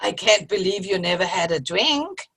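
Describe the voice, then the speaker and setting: A woman's voice: medium pitch, expressive, moderate pace, fairly bright tone, slightly rough. A woman playing a wry, teasing older friend in a comic play reading. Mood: teasing, amused. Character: wry, indulgent old girlfriend at afternoon tea. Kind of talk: virtual play reading, comedy. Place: video call.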